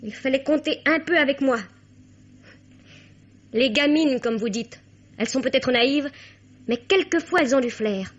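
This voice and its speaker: Radio drama: wounded, indignant teenage girl, high-pitched, impassioned, old muffled recording. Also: unhurried, long pauses. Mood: wounded, bitter. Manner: accusing, impassioned, formal address. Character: indignant, wounded teenage girl confronting her father's mistress. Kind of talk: 1950s French radio mystery acting. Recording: dull, lo-fi vintage radio sound.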